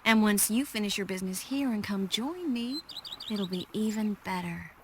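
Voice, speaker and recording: An actress playing an affectionate, worldly sorceress in a video game, speaking softly and teasingly to her lover. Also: medium pitch, animated, normal speed, noisy background, close to an everyday voice. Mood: calm, reflective. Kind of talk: video game cutscene acting.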